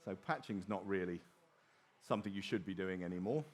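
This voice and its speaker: A middle-aged man speaks as a presenter at a technical conference. His voice sits a little low. He explains things informally, slipping in wry asides. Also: a little fast. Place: small open presentation theatre at a trade show.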